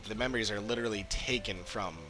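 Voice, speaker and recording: A man giving casual livestream commentary on a game, haltingly searching for words as he talks. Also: lowish voice, steady tone. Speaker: game streamer. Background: game music.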